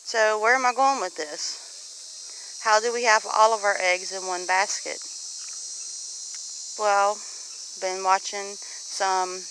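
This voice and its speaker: A woman with a Southern US accent chatting casually in a plainspoken, folksy way, as a homestead vlogger talking to viewers. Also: medium pitch. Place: outdoors on a farm among animals. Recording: outdoor farm noise, animals and insects. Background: faint animal and insect sounds.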